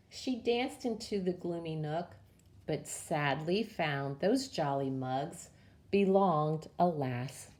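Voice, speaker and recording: Slow-paced woman reading a children's story. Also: on the low side, darkish tone. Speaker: children's story time presenter. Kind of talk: storybook read-aloud for young children.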